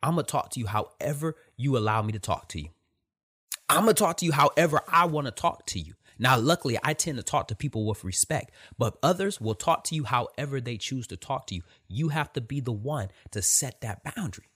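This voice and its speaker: A soft-voiced man giving a blunt, emphatic motivational talk, repeating his points. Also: medium pitch, expressive, fairly quick, breathy edge. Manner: emphatic, repetitive, direct with profanity.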